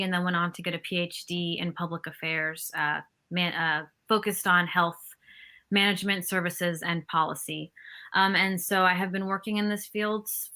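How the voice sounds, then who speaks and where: A woman from the West Bank, in her thirties, answering interview questions in a thoughtful, measured way with frequent fillers. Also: on the low side, sharp tone. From West Bank, Palestine. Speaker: guest expert.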